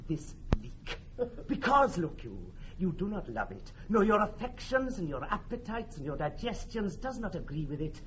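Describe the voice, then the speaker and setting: Fiery welsh captain, high bright voice, wordy and pushy, old shakespeare radio drama. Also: male voice, big pitch swings, moderate pace. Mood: mock polite. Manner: emphatic, wordy, repetitive. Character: fiery, pedantic Welsh army captain, comically insistent. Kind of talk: classic Shakespearean radio acting. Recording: muffled, faintly noisy old radio recording.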